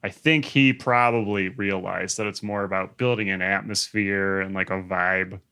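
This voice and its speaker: A man's voice: deep voice, fairly even intonation, normal speed, sharp tone, clear, resonant tone. A man co-hosting a podcast in casual, conversational discussion. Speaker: podcast co-host. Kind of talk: casual chat.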